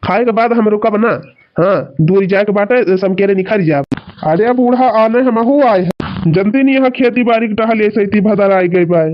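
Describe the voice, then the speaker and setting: High-pitched complaining village son-in-law, played by a man, lo-fi. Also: normal speed, lightly acted. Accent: Awadhi. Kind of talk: rural cartoon comedy dialogue. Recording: dull lo-fi sound.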